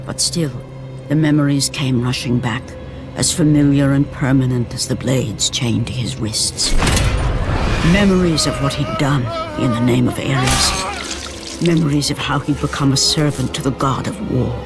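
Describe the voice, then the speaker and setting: A man's voice: mid-range voice, a little slow, music in the background. A man narrating a video game cutscene in a solemn, grave, scripted storytelling tone. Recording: game cutscene with very loud score.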